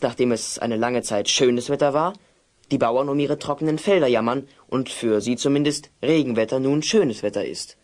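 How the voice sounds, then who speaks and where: A man's voice: mid-range voice, lightly acted. Earnest, bookish apprentice wizard, acted by a man. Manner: precise, long-winded, earnest explaining. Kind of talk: German children's audio play acting.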